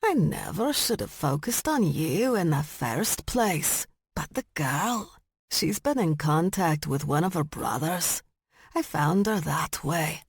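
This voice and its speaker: Give a menacing Scottish woman played by a female narrator in a storybook thriller. Her voice is low and husky, very dry and close, with a gloating, taunting edge as she threatens her captive. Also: lively intonation, slow pace, no room sound, full character voice. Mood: taunting contempt.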